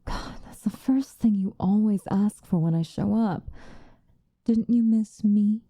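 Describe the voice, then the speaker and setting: A woman playing a possessive vampire lover in an ASMR roleplay, soft and often whispering close to the microphone, coaxing with condescending affection and a hint of menace. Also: mid-range voice, measured pace, no room sound. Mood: teasing, coaxing.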